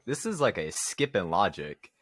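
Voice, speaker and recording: A man's voice: sits low, very animated, normal speed, a bit bright, no echo. A young man chatting casually as a podcast co-host. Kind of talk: casual chat about games and movies.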